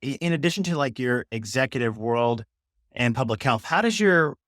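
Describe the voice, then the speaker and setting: A man co-hosting a podcast poses questions to a guest. He hesitates and uses many fillers. His voice sits in a middle pitch, and the sound is dry and close. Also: animated, moderate pace, a bit bright, no echo. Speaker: podcast co-host. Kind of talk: interview questions.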